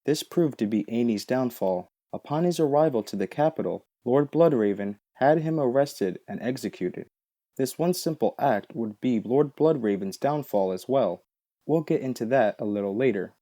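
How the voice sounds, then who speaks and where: Mellow-voiced man narrating fantasy lore. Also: medium pitch, animated, moderate pace. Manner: explanatory, matter-of-fact. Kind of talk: scripted documentary-style lore narration.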